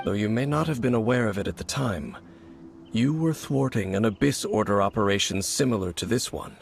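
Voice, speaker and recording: A man voicing a grave, aloof and mysterious warrior in a video game, speaking in a measured, formal, solemn manner. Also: fairly low pitch, expressive, vocal fry. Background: game music.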